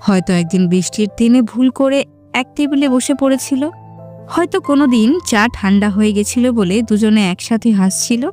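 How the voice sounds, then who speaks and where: Mellow woman narrating a romantic story. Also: on the high side, a little fast, soft background music.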